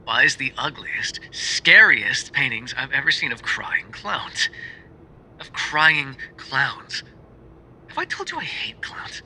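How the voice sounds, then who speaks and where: A man's voice: mid-range voice, very animated, slow pace, pushed and bright, heavily acted, rough recording. A man playing a lovesick, jealous mafia boyfriend in an intimate ASMR roleplay monologue, conversational and sardonic, dropping into whispers. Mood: wry, exasperated.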